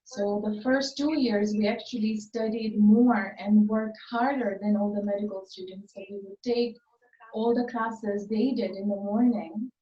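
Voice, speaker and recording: A woman's voice: medium pitch, fairly even intonation, unhurried, mellow. A woman in her forties giving conversational answers as an interview guest. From Tanzania. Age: 40s. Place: remote online call, indoor room. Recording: muffled, roomy, distant call audio.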